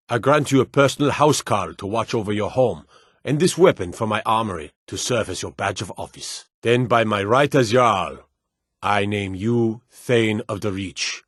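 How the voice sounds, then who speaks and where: An actor playing a stern, dutiful fantasy lord and soldier in a video game, speaking in a formal, measured, authoritative way. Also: male voice, lowish voice, no room sound, clean studio sound, lightly acted. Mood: courteous, formal. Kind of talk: video game character dialogue.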